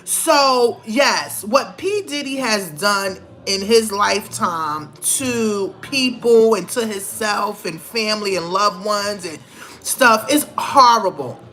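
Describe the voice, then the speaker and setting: A woman's voice: mid-range voice, lively intonation, slow pace, a bit bright. A middle-aged woman giving casual, opinionated commentary in a conversational, emphatic way, repeating phrases for stress. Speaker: vlogger.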